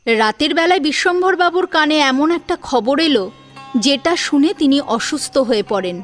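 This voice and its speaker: A woman's voice: high-pitched, lively intonation, moderate pace, soft background music. A woman narrating a scripted audio drama in a dramatic storytelling style. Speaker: narrator and voice actor.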